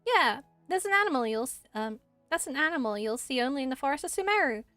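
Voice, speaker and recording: A woman's voice: sits high, lively intonation, quick pace, a bit bright, no room sound, soft background music. A woman voicing an earnest, dutiful young female forest ranger in a fantasy game, explaining things carefully.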